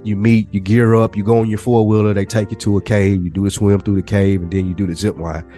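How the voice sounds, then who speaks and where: A man in his thirties co-hosting a podcast, telling stories in a casual, animated way with lots of casual swearing. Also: fairly low pitch, fast, faint music behind. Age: 30s. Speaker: podcast co-host. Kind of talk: casual chat, storytelling.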